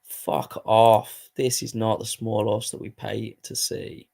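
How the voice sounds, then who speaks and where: Hoarse man with a gravelly voice. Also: fairly low pitch, little pitch movement, slow pace, darkish tone. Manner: swears freely, muttering asides while playing. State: hoarse, voice going. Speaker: host commentating a football management game. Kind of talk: casual live gaming commentary.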